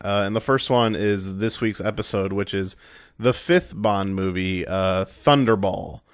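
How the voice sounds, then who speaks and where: Hoarse, raspy male podcast host. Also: lowish voice, a little slow, no room sound. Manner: rambling with many fillers and restarts. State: hoarse from a cold. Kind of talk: casual podcast intro.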